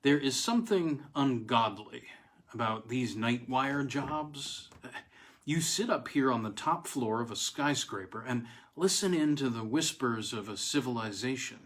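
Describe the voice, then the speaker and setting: A man reading vintage weird fiction aloud as a dramatic first-person story, with deliberate pauses and emphatic stresses. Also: mid-range voice, animated, a bit bright.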